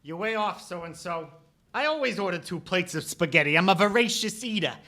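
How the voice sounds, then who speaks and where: Earnest suspect, swooping intonation. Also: male voice, high-pitched, animated, fairly quick, pushed and bright, full character voice. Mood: indignant, earnest. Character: defensive, earnest, smitten suspect in 1940s mystery, man. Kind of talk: 1940s radio play acting.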